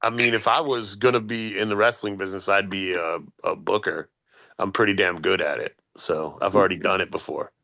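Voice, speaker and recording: A man co-hosting a wrestling podcast in casual chat, easygoing and quick to laugh. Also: sits low, fairly even intonation, gravelly, pushed and bright. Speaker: podcast co-host.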